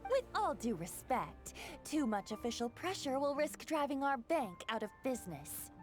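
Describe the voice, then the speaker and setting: A guarded female bank clerk in a video game, high-pitched and very animated in intonation. She is formal and curt, openly wary, with faint music underneath. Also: normal speed. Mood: wary, reproachful. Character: guarded bank clerk, stiffly polite and distrustful woman. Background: background music.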